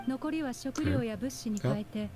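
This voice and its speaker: Woman playing a cool warrior mentor, mellow tone, slightly high, brisk delivery, composed, aloof, anime game. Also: soft background music, lightly acted. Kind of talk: Japanese anime game voice acting.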